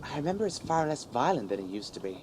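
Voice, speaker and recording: A man's voice: a little high, very animated, fast, heavy background noise. A man playing a hesitant, squeamish and incredulous newcomer who stammers, in a comedy audio drama. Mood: puzzled. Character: bewildered, squeamish male newcomer to monster business.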